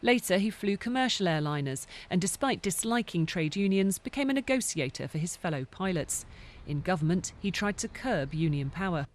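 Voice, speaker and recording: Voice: mid-range voice, animated, normal speed. A reporter reading a scripted obituary narration for a television news report.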